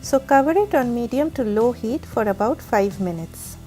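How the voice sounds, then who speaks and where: A woman with a raspy, heavy voice from a flu, giving careful step-by-step cooking instructions as the host of a recipe channel. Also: fairly high pitch, expressive, normal speed, over music. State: hoarse from a flu. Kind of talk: recipe voice-over narration.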